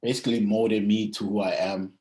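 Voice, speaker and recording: A man's voice: fairly low pitch, little pitch movement, warm tone. A young man, chatting casually and hesitantly as an athlete being interviewed. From Zimbabwe and South Africa. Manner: hesitant, frequent pauses and "you know".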